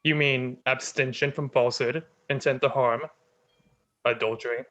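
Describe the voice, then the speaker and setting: A man's voice: medium pitch, steady tone, moderate pace, sharp tone, dull audio, close to an everyday voice. A man playing a stern, sneering lord who presides over a court, in a dramatic script reading.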